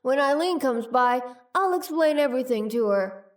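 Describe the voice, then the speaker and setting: A high, distant voice of an eerily polite female spirit played by a woman in an audio drama. She is composed and formal, soothing in a drawn-out way. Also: animated. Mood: eerily calm.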